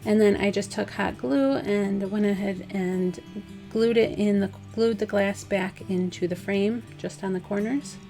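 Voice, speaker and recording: A woman with a slightly hoarse, dry voice, casually talking through a DIY craft project step by step. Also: medium pitch, unhurried, soft background music. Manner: relaxed, chatty, step-by-step instructions with fillers. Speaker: craft vlogger. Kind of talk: casual DIY tutorial voice-over.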